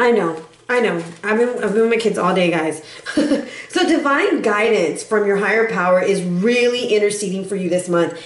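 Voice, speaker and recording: A woman giving a casual, sassy tarot forecast, chatty and playful, a little hoarse from recovering from bronchitis. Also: mid-range voice. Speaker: tarot reader. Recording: echoey room.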